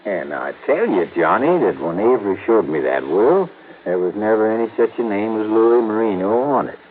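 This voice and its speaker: A man's voice: fairly low pitch, expressive, moderate pace, natural voice. A man playing an old folksy desert prospector on tinny old radio. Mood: insistent. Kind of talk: 1950s radio drama acting. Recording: tinny old radio broadcast, faint hiss.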